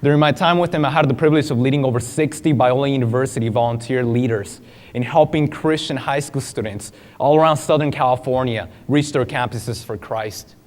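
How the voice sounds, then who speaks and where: A man's voice: medium pitch, fairly quick. Young colombian guy, spanish is his first language, earnest speech on a big stage, lapel mic. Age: young adult. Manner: earnest, storytelling, moves hands while standing. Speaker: student speaker. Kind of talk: personal testimony speech. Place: large conference hall stage.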